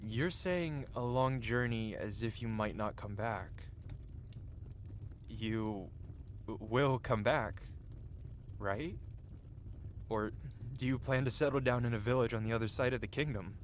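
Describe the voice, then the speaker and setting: A man's voice: mid-range voice, measured pace, long pauses, slight background noise, lightly acted. A man playing a cocky, flirty yet tender-hearted medieval blacksmith in an intimate, theatrical ASMR fantasy roleplay. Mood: worried, subdued. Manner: teasing, theatrical, with soft hesitations. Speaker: audio roleplay performer. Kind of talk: ASMR roleplay acting, intimate one-sided dialogue.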